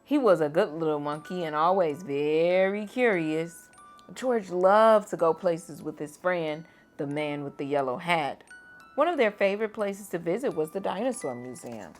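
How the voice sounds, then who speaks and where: A teacher reading a children's picture book aloud at bedtime, gentle and expressive. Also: female voice, on the low side, normal speed, faint music behind.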